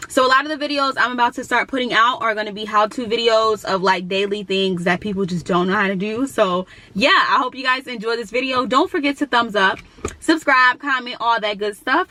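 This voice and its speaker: A woman's voice: a little high, bright, forceful tone. A woman in her twenties hosting a casual how-to vlog on her phone, upbeat and chatty. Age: 20s. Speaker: YouTuber.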